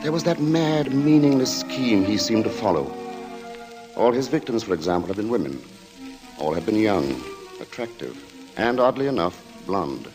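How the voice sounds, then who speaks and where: Actor as grave old-radio suspense narrator guy, literary and ominous, music clearly behind him. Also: lowish voice, animated, moderate pace, natural voice, rough recording. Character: grave, suspenseful male storyteller of a murder tale. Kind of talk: 1940s radio drama narration. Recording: old radio recording under loud music.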